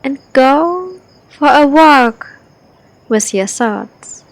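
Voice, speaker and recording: A woman leading a guided sleep meditation, speaking in short, deliberate, spaced-out phrases with gentle instruction. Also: high voice, animated, gravelly, no echo.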